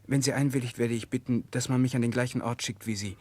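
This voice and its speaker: A man's voice: medium pitch, steady tone, fast talker, a little mellow, dry recording, close to an everyday voice. A man playing a principled, protective political exile in a 1960s German radio drama, speaking formally and directly. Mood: earnest.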